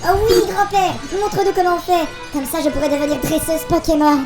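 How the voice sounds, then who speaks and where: A woman's voice: very high-pitched, a little fast, over music. A woman voicing a caring, enthusiastic young granddaughter in a cartoon. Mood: eager, caring.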